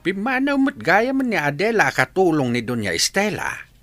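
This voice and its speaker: A gossipy old villager acted by a man, fairly high and forceful. He grumbles with lively swings of pitch, in radio drama style. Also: moderate pace, dry recording, faint music behind, exaggerated voice. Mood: gossiping. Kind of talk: melodramatic Filipino radio-style drama acting.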